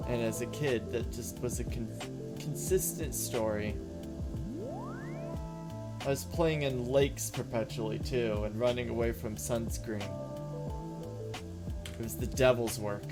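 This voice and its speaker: A man's voice: medium pitch, steady tone, unhurried, long pauses. A man streaming a game, rambling casually, answering chat and muttering to himself as he plays. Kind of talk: casual live-stream chat while playing. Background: background music.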